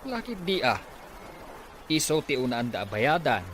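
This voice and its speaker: A man's voice: mid-range voice, big pitch swings, moderate pace, fairly bright tone, slight background noise. A man acting a practical village husband in an Ilocano drama, speaking with an Ilocano accent. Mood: resigned, practical. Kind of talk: amateur Ilocano radio-style drama acting.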